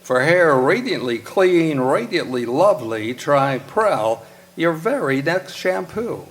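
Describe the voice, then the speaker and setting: Unhurried man, old-time radio announcer. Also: a little high, sing-song.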